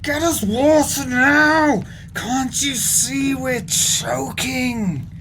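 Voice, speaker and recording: A crooked, grandiose swindler in an audio drama. His delivery is extremely slow and florid, with a heavy creak in the voice and a melodramatic manner. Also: very high voice, fairly bright tone, slight background noise, exaggerated voice. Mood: anguished. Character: crooked male swindler, mournfully grandiose and evasive. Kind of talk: audio play acting.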